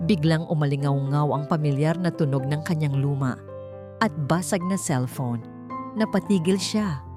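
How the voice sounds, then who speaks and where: A woman with a Filipino accent narrating a scripted story in a measured, dramatic way. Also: deep voice. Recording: dry studio voice over background music. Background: background music.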